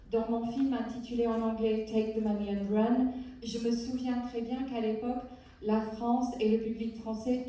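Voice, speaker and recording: A woman interpreting a speech on stage, with an even, measured delivery. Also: on the high side. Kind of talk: translating a speech. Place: large cinema hall. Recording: echoey hall, far from mic.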